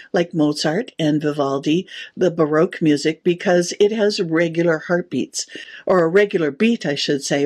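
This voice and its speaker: A woman's voice: on the low side, darkish tone, husky. A middle-aged woman, a sound therapist, explaining her work in an interview with enthusiasm and easy laughter. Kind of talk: interview answers.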